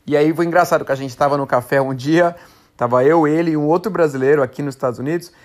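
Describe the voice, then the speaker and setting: A man's voice: fairly high pitch, quick pace, a little mellow. A man in his thirties with a Brazilian Portuguese accent, hosting a casual solo podcast and rambling as he corrects himself. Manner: chatty, rambling, self-correcting. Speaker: podcast host.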